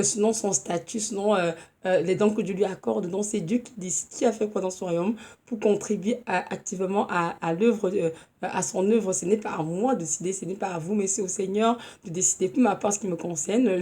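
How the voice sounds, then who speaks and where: Woman, 30s, hoarse from a cold, earnest informal preacher, mellow tone, halting delivery. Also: medium pitch. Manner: earnest, halting, with many fillers and restarts. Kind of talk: informal home sermon.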